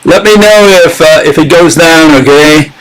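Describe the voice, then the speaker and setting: A man's voice: on the high side, moderate pace, smooth, low-quality recording. A man hosting a casual live-streamed talk, sarcastic and chatting with his viewers as he goes. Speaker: channel host giving a critique.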